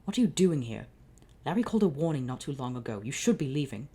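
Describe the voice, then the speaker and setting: A devoted young-adult pharaoh, read aloud by a woman. He speaks firmly and earnestly, and the voice is only slightly lifted from a low, dark register. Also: very animated, fast, breathy edge, exaggerated voice. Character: devoted calm pharaoh man, adult lover.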